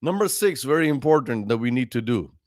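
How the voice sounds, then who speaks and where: Earnest imam giving numbered advice. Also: male voice, mid-range voice, lively intonation, a little fast, no room sound, studio quality. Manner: earnest, numbered advice, greets viewers mid-talk. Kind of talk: livestreamed religious talk.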